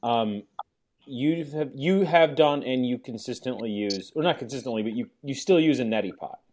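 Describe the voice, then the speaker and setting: A man's voice: mid-range voice, moderate pace, a little mellow. A man hosting a casual podcast, asking a guest questions in a playful, joking way. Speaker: podcast host. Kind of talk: casual chat.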